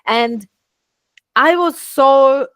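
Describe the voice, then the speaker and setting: A middle-aged woman with an Italian accent, a guest telling lively stories and acting out quoted dialogue. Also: extremely slow, no echo, studio quality. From Italy. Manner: lively, acts out quoted dialogue with big pitch jumps. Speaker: guest, VA agency founder. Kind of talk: animated storytelling in conversation.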